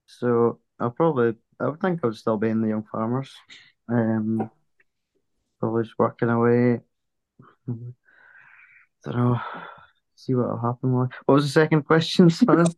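A man's voice: mid-range voice, normal speed, long pauses, a little mellow, dry recording. A man, giving short, hesitant answers in a casual chat as a guest, in slightly muffled sound. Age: early 20s. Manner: hesitant, brief. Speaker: club chair, interview guest.

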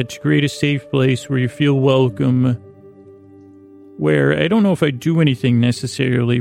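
A man with a creaky, gravelly voice and a fairly flat pitch rambles through a bedtime monologue as a podcast host. He stammers, repeats himself and meanders from thought to thought. The sound is dry and close, with faint music underneath. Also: no room sound. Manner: meandering, halting, often stammers and repeats words.

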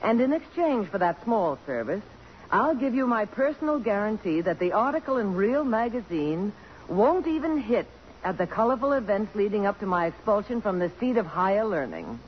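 An American actress playing a principled, defiant woman journalist with a crisp, cutting delivery in a 1950s radio drama. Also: mid-range voice, big pitch swings, moderate pace, close to an everyday voice. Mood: cold, cutting. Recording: dull, noisy old radio broadcast recording.

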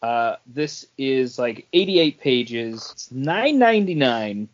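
A man's voice: medium pitch, very animated, slow. Man co-hosting a podcast, dull lo-fi sound. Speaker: podcast co-host. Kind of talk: casual comics podcast chat.

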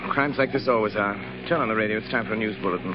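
An actor playing a suave, confident sleuth who gives crisp, authoritative instructions in 1930s radio style. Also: male voice, on the low side, rapid-fire, over music, close to an everyday voice. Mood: cool, commanding. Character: suave, commanding male sleuth, coolly confident. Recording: muffled old radio, clear noise.

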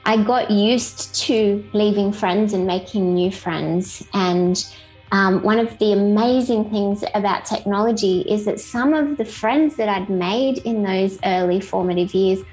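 A woman's voice: medium pitch, darkish tone, poor audio. A middle-aged woman with an Australian accent, chatting in an upbeat way as an interview guest. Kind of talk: casual interview chat.

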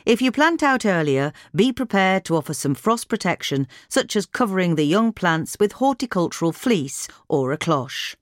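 A woman's voice: on the low side, no room sound, studio quality. A woman reading a scripted gardening article aloud as a podcast narrator. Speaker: podcast reader.

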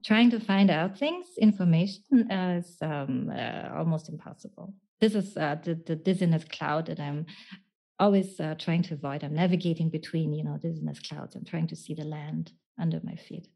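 A woman's voice: sits low, moderate pace, vocal fry, warm tone. A woman recalling things in conversation, hesitant and searching for her words. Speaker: guest, writer. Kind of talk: halting conversational recollection.

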